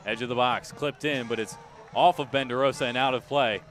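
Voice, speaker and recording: A man's voice: medium pitch, fairly even intonation, fairly quick, fairly bright tone, faint music behind. A man doing live radio play-by-play of a soccer match, describing each touch in clipped, urgent, emphatic phrases. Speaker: play-by-play announcer.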